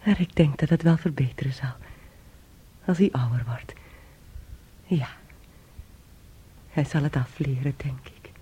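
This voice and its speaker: An actress in a radio play voicing an anxious, tender young bride-to-be, breathy and hesitant. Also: female voice, medium pitch, mellow, exaggerated voice. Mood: hushed, tender. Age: young adult. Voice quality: breathy, often near a whisper. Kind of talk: Dutch-language radio play acting.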